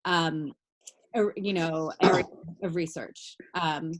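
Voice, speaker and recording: A woman's voice: low-pitched, fairly even intonation, slow, low-quality recording. A woman college teacher hosts a class video call, talking in a chatty way with lots of fillers. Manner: warm, chatty, many fillers.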